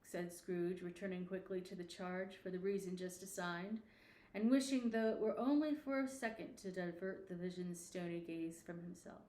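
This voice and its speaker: A woman reading a classic story aloud in expressive, scripted narration. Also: fairly low pitch, moderate pace, echoey room, low-quality recording. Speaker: audiobook reader.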